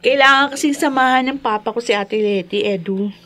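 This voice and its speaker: A woman's voice: fairly high pitch, brisk, a bit bright, natural voice. A woman playing an uneasy, guarded young girlfriend in a radio drama. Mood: polite. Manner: hesitant, guarded, brushing off.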